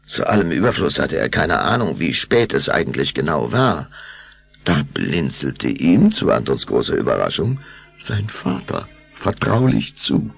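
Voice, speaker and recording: A male narrator with a deep, gravelly voice tells a story. His measured, suspenseful delivery rises and falls dramatically. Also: big pitch swings, dry recording, soft background music.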